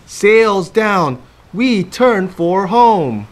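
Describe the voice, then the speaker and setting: A man in his thirties reads and talks to young children as a storytime host. His voice sits a little high for a man and moves up and down expressively. He takes his time, delivering phrases slowly for small listeners. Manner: animated, expressive, addressing children directly.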